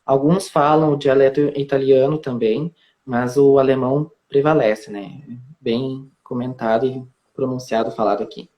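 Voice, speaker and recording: Man from southern Brazil, local tour guide, calm explainer on a video call. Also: medium pitch, moderate pace, highs cut off. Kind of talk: casual live-stream interview.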